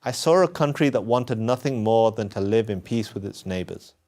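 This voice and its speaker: A British man delivering a scripted personal testimony as narration, earnest and emphatic, with deliberate phrasing. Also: on the low side, expressive, a little mellow. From England.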